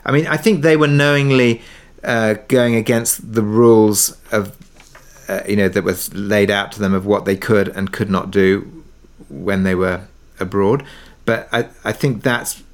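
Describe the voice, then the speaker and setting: Male interview guest, fairly low pitch, reflective and hesitant, explaining in a conversational way. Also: fairly even intonation, unhurried. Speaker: interview guest, audio drama producer.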